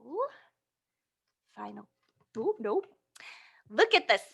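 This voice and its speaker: A woman leads a picture-book storytime for small children in a fairly high, very bright voice. She is animated and encouraging. She keeps posing simple questions to her young listeners and answers many of them herself. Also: slow pace, creaky, husky.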